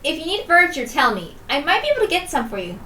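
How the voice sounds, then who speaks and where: Woman voicing a high, timid, friendly young sister. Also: wildly swooping pitch, fast, sharp tone, exaggerated voice. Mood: friendly, offering. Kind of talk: visual novel character reading. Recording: roomy, distant mic with faint noise.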